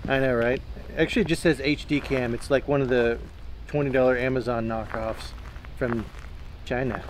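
A man's voice: mid-range voice, steady tone, moderate pace, poor audio. A man chatting casually and jokingly while playing a horror game, swearing now and then. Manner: joking, swearing, playfully chanting. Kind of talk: casual gaming chat and commentary. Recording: clear noise behind the voice.

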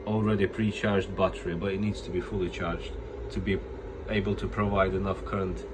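A man's voice: deep voice, level tone, a little fast, noisy background. A man with a cold explaining a phone repair at his workbench in a casual, plain way. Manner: plain, explanatory, some restarts. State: has a cold. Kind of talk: casual hands-on repair demo. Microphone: phone camera held over bench.

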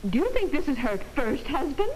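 A woman's voice: high voice, sing-song, fairly quick, darkish tone. An actress playing a gossipy, dramatic American woman on the telephone in a 1950s comedy film. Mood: chatty. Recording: very noisy, crackly 1950s film soundtrack.

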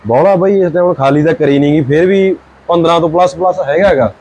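A man from Barnala with a Malwai Punjabi accent, a cattle seller giving quick, short answers about his cows. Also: on the high side, darkish tone, husky, lo-fi sound. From Barnala district, Punjab. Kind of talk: answering interview questions.

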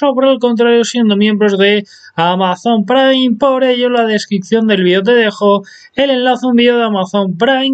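A man's voice: very high-pitched, smooth, highs cut off. A young man with a Spain Spanish accent, hosting a deals channel and walking through a product page in upbeat promotional patter. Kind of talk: screen-recorded product walkthrough.

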